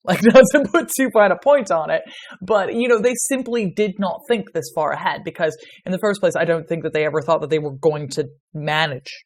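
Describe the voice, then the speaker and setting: A woman with an Irish accent, a guest on a podcast, talking casually in a sardonic, sweary way and laughing often. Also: sits low. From Ireland. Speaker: podcast guest, medieval historian.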